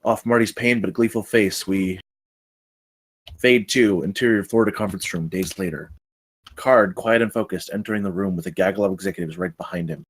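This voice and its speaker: A man's voice: deep voice, brisk, slightly rough. A man reading screenplay narration and stage directions aloud at a table read.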